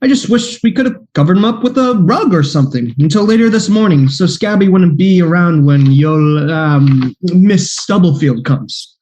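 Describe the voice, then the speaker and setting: High lively voice, man playing a flustered private eye, radio play. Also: moderate pace, few pauses. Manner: flustered, exclaiming. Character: fretful young male private detective.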